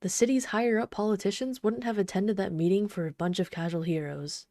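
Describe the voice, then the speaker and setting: A woman playing an obsessive, sweetly menacing supervillain in an ASMR roleplay, soft-spoken, doting, possessive and taunting. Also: mid-range voice, steady tone, a little fast, no echo, lightly acted. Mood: smug, scornful. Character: obsessive yandere female supervillain, sweetly menacing, adoring her captive.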